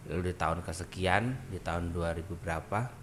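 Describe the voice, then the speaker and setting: A young adult man, a dance group founder and trainer, chatting on a podcast in an animated way. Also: low voice, fairly quick, a little hiss or hum. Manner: animated, sings melodies and acts out quoted voices. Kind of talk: casual podcast conversation.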